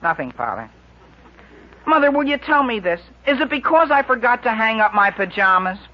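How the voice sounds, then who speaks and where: A man's voice: high voice, very animated, normal speed. A man playing an eager, muddled, earnest teenage son in 1940s radio comedy style. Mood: hesitant, earnest. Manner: earnest, dutiful, hesitant, gee-whiz boyishness. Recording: dull lo-fi 1940s radio broadcast.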